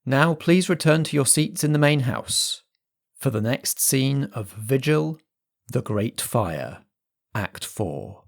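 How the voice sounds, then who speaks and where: A man presents a podcast announcement in the role of host and game master. His voice sits at a middling pitch as he reads through the notices for listeners. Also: lively intonation, takes it slowly, gravelly.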